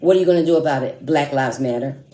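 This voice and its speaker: A woman's voice: rumbling low, quick pace, mellow. A woman hosting an online commentary, speaking in an emphatic, challenging way with rhetorical questions and repetition. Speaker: host of an online commentary show. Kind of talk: opinion commentary with read-aloud article excerpts. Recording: echoey room sound.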